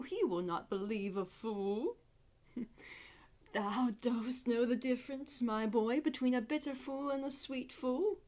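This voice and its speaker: A woman acts out a cheeky court jester from classical theatre, mocking and full of riddles. The voice sits fairly high, with slow, teasing, theatrical delivery. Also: a little mellow. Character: impudent court fool, witty, mocking, riddling, needling an old master. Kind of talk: Shakespearean stage monologue.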